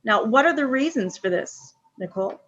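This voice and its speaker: Brisk woman moderator, dull audio. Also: on the high side, animated, a bit bright. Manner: affirming, brisk questions. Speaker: moderator, show host. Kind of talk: webinar moderation. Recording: dull lo-fi video call audio.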